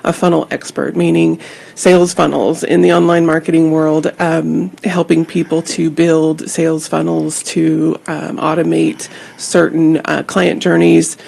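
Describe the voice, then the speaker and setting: A woman's voice: deep voice, level tone, unhurried, gravelly, a little mellow, breathy edge. A woman from Georgia, a marketing guest, giving friendly step-by-step answers in an interview. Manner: friendly, explains step by step, some fillers. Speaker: guest, email marketing strategist.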